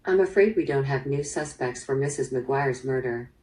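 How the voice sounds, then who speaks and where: A woman playing a probing, businesslike police detective in an interactive radio drama, measured in her questioning. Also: a bass voice, a little mellow. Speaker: voice actor in interactive audio drama. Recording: played through speaker, slightly distant.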